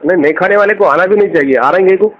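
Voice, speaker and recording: Muffled phone voice, advising cleric. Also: male voice, high-pitched, very fast. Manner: explanatory, instructive. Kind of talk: conversational religious advice. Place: on a phone call. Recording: dull lo-fi phone recording.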